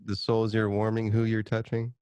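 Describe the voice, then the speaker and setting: A man's voice: lowish voice, completely flat delivery, normal speed, a little mellow, clean tone, no echo. A man co-hosting a podcast in casual comedic banter, joking and swearing freely. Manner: joking, profane. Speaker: podcast co-host.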